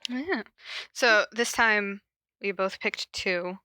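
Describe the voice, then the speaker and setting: A woman with a notably bright voice in a dry, close recording. She chats casually as a podcast co-host, giggly and playfully teasing, and reads aloud in the same informal way. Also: medium pitch, slow, clean tone, dry recording. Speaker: podcast co-host and fan fiction reader. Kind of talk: casual chat with reading aloud.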